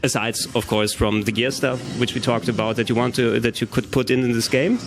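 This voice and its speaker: A man's voice: medium pitch, fairly even intonation, fairly quick, a little hiss or hum. A man conducting a casual, chatty interview.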